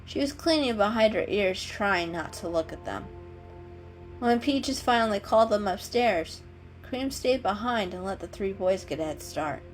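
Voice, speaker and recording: A woman's voice: medium pitch, animated, moderate pace, low-quality recording. A woman storyteller giving a dramatic scripted reading of a children's story. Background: background music.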